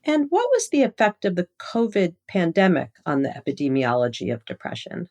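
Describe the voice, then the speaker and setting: Casting: woman podcast interviewer, formal and professional, animated pitch movement, scripted questions. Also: a little fast.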